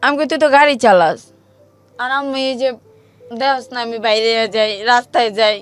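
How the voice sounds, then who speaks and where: A woman's voice: a little high, a little fast, clear, resonant tone, soft background music. A woman with a Bangladeshi accent telling her own life story in emotional, colloquial speech, reenacting the exchanges as she goes.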